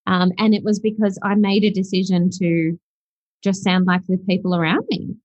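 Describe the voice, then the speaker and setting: A woman's voice: mid-range voice, flat delivery, normal speed, a little mellow, dry recording. Reflective Australian woman, dull dry sound. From South Africa. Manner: reflective, with frequent fillers. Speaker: guest. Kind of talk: podcast interview.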